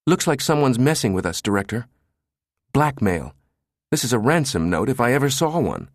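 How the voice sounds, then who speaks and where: Audiobook role: male narrator as bellowing computer chief, crude and sardonic, raised pitch, very dry studio sound. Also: very animated, moderate pace, no room sound. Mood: exasperated. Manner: brash, sardonic, spitting out words. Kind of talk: audiobook character dialogue.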